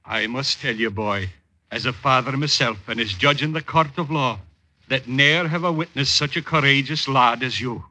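A man's voice: medium pitch, bright, forceful tone. An actor playing a solemn, fatherly judge with formal, oratorical, archaic phrasing in an old-time radio drama.

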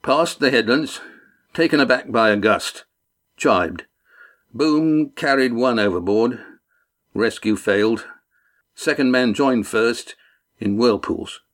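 A man's voice: mid-range voice, slow, long pauses, a bit bright. Man as a clipped, formal, unfeeling sailor. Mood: flat report. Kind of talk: audiobook character dialogue.

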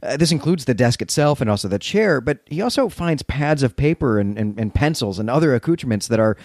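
A man's voice: mid-range voice, animated, a little fast, darkish tone, dry recording. Man podcaster, dry close recording. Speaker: podcast co-host. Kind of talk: literary recap discussion, semi-prepared retelling.

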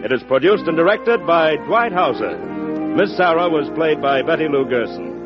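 A man's voice: fairly high pitch, sing-song, moderate pace. A man reading credits as a scripted 1940s radio announcer. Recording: dull old radio recording, music behind.

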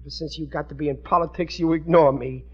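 A man's voice: a little high, steady tone, fast talker, close to an everyday voice. An actor playing an aggrieved, blustering middle-aged working-class husband and father in a 1940s radio sitcom, with plaintive, ungrammatical everyman speech. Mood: sulky, pleading. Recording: dull vintage radio broadcast recording.

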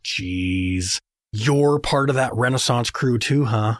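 A man's voice: medium pitch, slow pace, dry recording, clean studio sound, natural voice. A man playing a chatty, oblivious middle-aged American dad who makes affable, corny small talk in an audio fantasy comedy. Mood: playfully theatrical. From rural South Dakota.

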